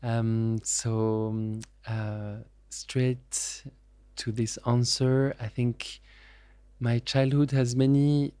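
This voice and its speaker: Soft-toned man, flat and measured. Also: mid-range voice, clean tone, no room sound. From France. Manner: measured, reflective, with frequent pauses and fillers. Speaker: podcast guest. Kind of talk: casual interview.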